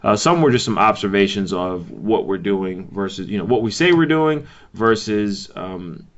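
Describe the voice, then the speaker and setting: A man with a low voice runs a meeting by conference call. He speaks informally and haltingly, working out his points as he goes. The sound is dull and lo-fi. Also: lively intonation. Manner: halting, hedging, thinking aloud.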